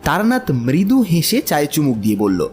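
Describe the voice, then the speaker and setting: A man's voice: on the high side, huge pitch swings, normal speed, a little mellow, faint music behind. A man narrating an audiobook story from a script.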